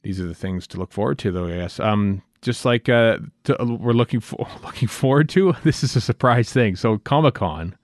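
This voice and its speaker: A man talks casually as a podcast co-host, rambling and thinking out loud. His voice sits at an average pitch and is recorded very dry, with little room sound. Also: normal speed, hardly any pauses, no echo. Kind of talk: casual chat.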